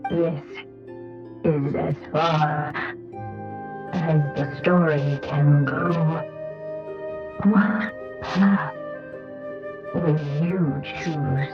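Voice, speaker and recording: A woman playing a solemn, mysterious storyteller in a video game, narrating in a grave, portentous, measured way. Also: low-pitched, steady tone, dull audio, over music.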